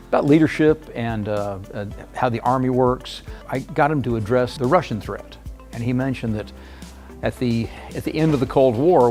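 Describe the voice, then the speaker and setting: A man's voice: medium pitch, a little mellow. Hesitant man speaking in interview, lots of fillers. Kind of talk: interview soundbite. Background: music bed.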